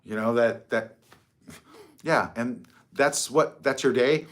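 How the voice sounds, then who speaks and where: A man's voice: medium pitch, slow pace. A middle-aged man hosting a casual livestream from his phone, rambling, mocking and swearing freely. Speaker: livestream host.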